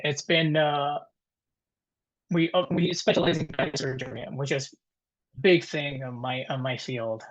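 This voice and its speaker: A man in his thirties with an Italian accent, presenting informally to a webinar in a halting, conversational way. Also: on the high side. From Italy. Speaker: guest presenter, dental technician. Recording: dull, muffled webinar audio.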